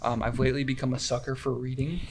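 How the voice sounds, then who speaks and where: A man's voice: medium pitch, little pitch movement, quick pace, noisy background. A young man in his twenties from Indiana, a guest on a casual podcast, answering modestly and a little dryly. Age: 20s. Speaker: guest, English teacher. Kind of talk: casual interview.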